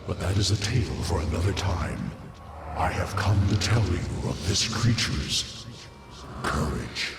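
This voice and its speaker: Ancient male alien spirit for a game: deep, unhurried, solemn prophet in heavy echo. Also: lively intonation, heavy background noise. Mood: solemn, grave. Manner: slow, solemn, formal archaic phrasing. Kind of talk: dramatic game cutscene acting. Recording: echoing voice, distant, over music.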